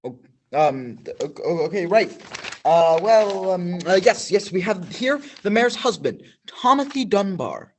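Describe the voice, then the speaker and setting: A man playing a nervous male field reporter in a school radio play, halting and full of fillers. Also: sits high, very animated, a little mellow, slight background noise. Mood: flustered.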